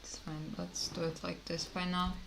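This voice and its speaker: A woman from Kazakhstan streaming a card game, thinking aloud in a hesitant, musing way with many pauses and fillers. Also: fairly low pitch, level tone, gravelly, noisy background.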